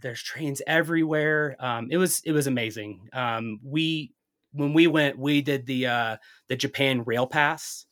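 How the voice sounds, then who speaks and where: A man's voice: mid-range voice, measured pace, a bit bright. A man speaking native English in a casual chat as a podcast guest, telling things in a rambling, storytelling way.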